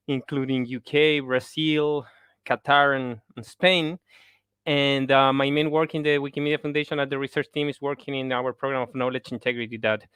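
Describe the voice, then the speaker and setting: Bright, crisp man from Chile. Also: mid-range voice, no echo. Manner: hesitant, repeating words. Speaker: senior scientist. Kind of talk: self-introduction in a talk.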